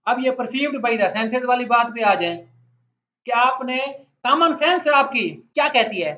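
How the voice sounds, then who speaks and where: A man's voice: very high-pitched, lively intonation, slow, bright, forceful tone. A man with a Pakistani accent gives an explanatory law lecture in a conversational way, putting questions to his listeners. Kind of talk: explanatory lecture with everyday examples. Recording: echoey room, dull lo-fi sound.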